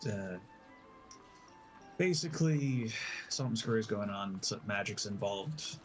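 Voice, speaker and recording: A man telling an improvised story as a player in a tabletop roleplay game, halting and correcting himself as he goes. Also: lowish voice, fairly bright tone, rough recording.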